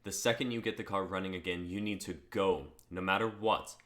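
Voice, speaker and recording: A man's voice: low-pitched, fairly even intonation, brisk, fairly bright tone. A man playing an exasperated, protective young husband with a sardonic, urgent manner in a dramatic script reading. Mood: grave, tender.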